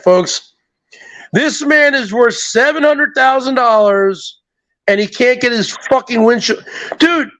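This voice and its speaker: A man trading crude, profane jabs in casual banter, loud and mocking, often mimicking others. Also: extremely high pitch, no room sound. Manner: brash, profane, mocking, does mimicking voices.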